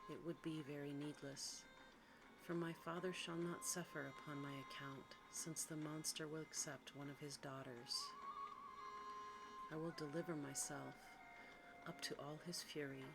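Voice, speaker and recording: The narrator voices a gentle, selfless young daughter in a hushed ASMR storybook reading, calm and firm in formal old-fashioned speech. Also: mid-range voice, noisy background, close to an everyday voice.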